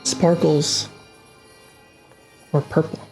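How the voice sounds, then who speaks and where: A game master narrating a tabletop fantasy game in a measured, dramatic storyteller's way. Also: mid-range voice, little pitch movement, gravelly, darkish tone. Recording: music behind the voice. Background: background music score.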